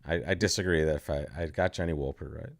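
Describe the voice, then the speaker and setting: A man's voice: very deep voice, fairly even intonation, fast talker, creaky, no room sound. A man hosting casual live bridge commentary, chatty and joking at his own expense. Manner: joking, chatty, self-deprecating.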